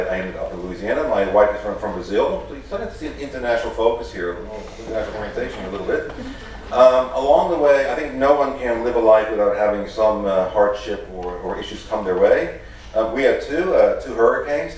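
A man's voice: fairly low pitch, a little hiss or hum. A middle-aged man raised in the Netherlands gives a formal lecture as a professor, speaking earnestly with many restarts. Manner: earnest, halting with frequent restarts. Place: large lecture hall with audience. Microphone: podium microphone. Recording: echoey hall, picked up from a distance.

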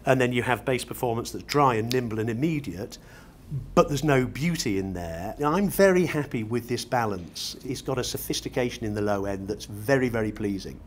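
A man's voice: medium pitch, expressive, fairly quick. Articulate British man over noticeable room noise. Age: middle-aged. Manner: articulate, ornate vocabulary. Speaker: loudspeaker designer being interviewed. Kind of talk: unscripted interview explanation. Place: large glass-roofed exhibition show room. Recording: noisy exhibition room ambience.

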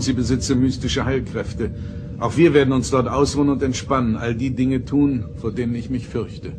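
A male actor as a reflective, dignified starship captain in a radio play. He speaks formally and with measure, at a middling pitch. Music plays clearly behind him. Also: natural voice. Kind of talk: German radio play acting.

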